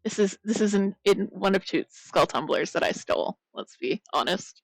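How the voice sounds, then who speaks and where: A woman chatting casually with viewers as the host of a crafting stream, her voice a bit croaky. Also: mid-range voice, animated, moderate pace, dry recording, soft background music, poor audio. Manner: chatty, rambling, greets viewers by name. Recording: loud blown-out mic.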